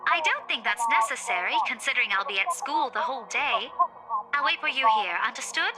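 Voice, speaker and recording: A woman's voice: sits high, expressive, a little fast. An actress playing an indignant, bossy young woman in a visual novel game, huffy and demanding. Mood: huffy, insistent. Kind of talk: game voice acting. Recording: loud game music over voice. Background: loud game music.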